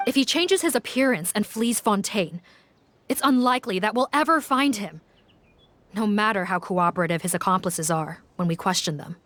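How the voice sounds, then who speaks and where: A woman's voice: mid-range voice, moderate pace, fairly bright tone, natural voice. An actress playing a composed female officer who speaks in a formal, direct, businesslike way. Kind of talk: video game voice acting.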